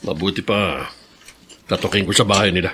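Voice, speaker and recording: An actor playing a bitter, stubborn grown son in a radio drama, defiant and scornful, with a Filipino accent. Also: male voice, sits low, brisk, background noise, lightly acted. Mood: musing. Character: bitter, stubborn grown son, hard-drinking tricycle driver.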